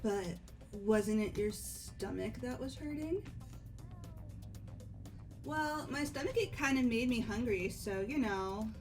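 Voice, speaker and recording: A woman's voice: a little high, expressive, takes it slowly, long pauses, distant mic, soft background music. A woman voicing a sheepish, friendly teenage girl in a video game, with hesitant, stammering speech, a little away from the mic. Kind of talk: amateur voiced video game playthrough.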